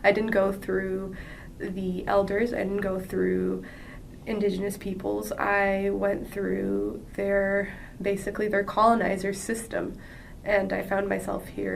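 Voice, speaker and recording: A woman with a gravelly, level voice speaks slowly and earnestly, often stopping and restarting her sentences. She sits back from the microphone, so she sounds a little distant. Clear music plays behind her. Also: medium pitch. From Jordan. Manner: earnest, halting, with frequent restarts. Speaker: podcast guest. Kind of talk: personal testimony.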